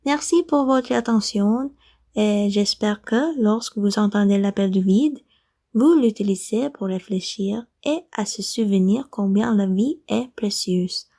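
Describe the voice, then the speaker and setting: Mellow-voiced woman from the Philippines. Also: medium pitch, unhurried. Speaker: learner sharing an expression. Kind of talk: read-aloud video message.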